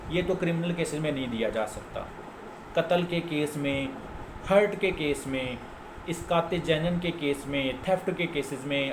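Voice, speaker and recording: A man with a Pakistani accent giving an informal law lecture as a lawyer, explaining step by step, repeating phrases and mixing in English legal terms. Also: on the high side. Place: indoors, office. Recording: echoey room with clear background noise.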